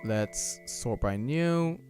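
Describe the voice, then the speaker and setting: A man's voice: medium pitch, expressive, drawn-out words, over music. Chatty young male streamer, voice going. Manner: chatty, reads chat aloud and answers it, loose restarts. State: losing his voice. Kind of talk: casual live-stream chat reading viewer messages.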